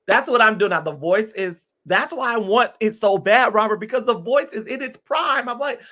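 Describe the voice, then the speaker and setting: A man in his thirties from Detroit, a guest singer chatting in an interview, expressive and animated. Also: high-pitched, normal speed. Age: 30s. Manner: lively, expressive, swings from loud exclamations to soft asides. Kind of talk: casual interview chat.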